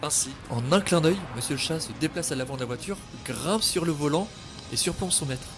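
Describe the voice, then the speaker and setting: A man narrating his own podcast story in a scripted, dramatic, expressive way. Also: fairly high pitch, fairly quick. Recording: music playing behind.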